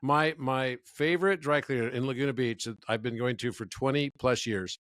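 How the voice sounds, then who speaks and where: A man's voice: medium pitch, normal speed, a bit bright, no room sound. Enthusiastic man telling stories, dry sound. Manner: enthusiastic, storytelling. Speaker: interview guest. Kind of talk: conversational interview.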